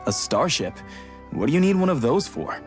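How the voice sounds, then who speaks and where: An actor playing a harried, grumbling, overworked leader in a video game cutscene. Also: male voice, fairly high pitch, big pitch swings, normal speed, faint music behind. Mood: incredulous. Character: harried, grumbling man in charge, overworked and exasperated.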